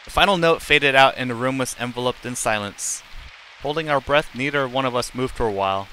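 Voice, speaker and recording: A man's voice: mid-range voice, brisk, bright, forceful tone, slight background noise, poor audio. A middle-aged man live streaming, reading game text aloud in a plain, unacted way with casual asides and occasional stumbles.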